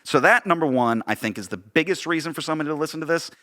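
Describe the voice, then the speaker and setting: A man's voice: on the high side, lively intonation, racing, sharp tone. A man with an American accent talking casually on a podcast as co-host, often searching for words and repeating phrases.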